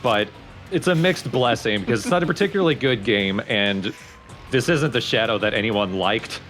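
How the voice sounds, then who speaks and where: A man's voice: medium pitch, animated, normal speed, a bit bright. A man giving casual, opinionated commentary on a video game among friends, explaining what he thinks of it. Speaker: let's play co-commentator. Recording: game music behind the voice. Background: video game music.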